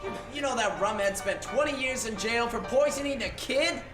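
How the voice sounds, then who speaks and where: A man's voice: extremely high pitch, moderate pace, roomy sound, loud music behind the voice. A man playing a brusque, threatening, slangy tough guy in a 1940s-style radio play. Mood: angry, contemptuous. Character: gruff, hostile tough-guy bartender or cop.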